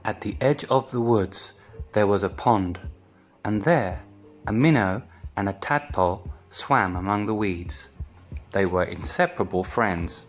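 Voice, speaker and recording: A man reading a children's picture book aloud as storytime reader, deliberate and pausing between short phrases. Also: lowish voice, faint music behind.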